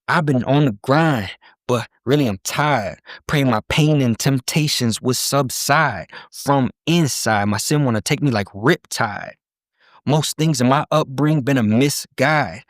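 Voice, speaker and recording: A man's voice: mid-range voice, no room sound, clean studio sound. A man, a guest rapper, talking casually and rapping his verses with a rhythmic flow. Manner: rhythmic rap delivery, laid-back in conversation.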